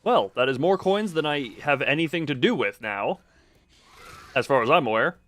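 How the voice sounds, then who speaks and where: A man's voice: fairly high pitch, lively intonation, normal speed, fairly bright tone. A man giving casual live video game commentary, thinking aloud in a rambling, self-interrupting way with playful, self-deprecating wordplay.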